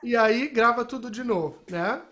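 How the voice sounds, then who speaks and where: A woman giving an informal software lesson as a music technology teacher, explaining and often checking in with short tag questions. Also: fairly high pitch, normal speed.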